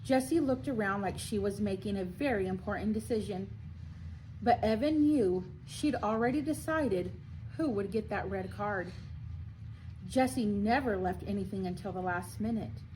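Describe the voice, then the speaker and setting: A woman's voice: medium pitch, normal speed, dark tone, slight background noise, low-quality recording. A woman reading a children's story aloud expressively, like a school librarian at storytime.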